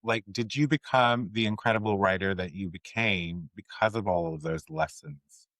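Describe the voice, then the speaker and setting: A man interviewing casually as a podcast host, supportive and agreeable, feeling his way through questions haltingly. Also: deep voice, fairly bright tone, dry recording, rough recording. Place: remote video call.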